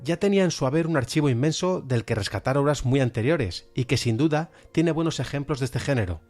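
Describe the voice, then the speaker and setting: A male podcast presenter with a Spanish accent from Spain talks in a medium-pitched voice. He reviews audio drama with enthusiasm, as if from loose notes. Faint music plays behind him. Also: animated, fairly quick. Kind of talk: semi-scripted podcast review of radio drama. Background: background music.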